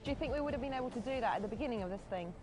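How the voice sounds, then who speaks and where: A woman talks fast in a high voice, giggly and ribbing. Loud music plays clearly beneath her, and the chat is loose and casual. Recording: music playing under the talk.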